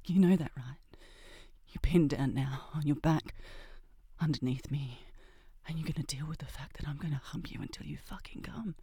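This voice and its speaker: A woman playing a breathy, bold college senior. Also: low voice, normal speed, mellow, no room sound, studio quality. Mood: commanding whisper. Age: young adult. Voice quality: breathy, often whispering.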